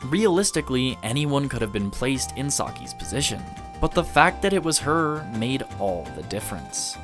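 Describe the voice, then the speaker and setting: A man with a mid-pitched voice delivers scripted video-essay narration over background music. His intonation is lively, rising and falling widely. He phrases things earnestly and deliberately, leaning on key words for emphasis. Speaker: video essayist reviewing an anime.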